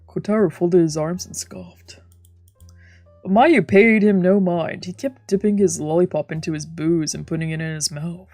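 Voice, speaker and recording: Low-pitched woman narrating a visual novel. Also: moderate pace, mellow, no room sound, faint music behind. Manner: casual, slangy. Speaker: streamer reading a visual novel aloud. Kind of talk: narration and casual dramatised reading.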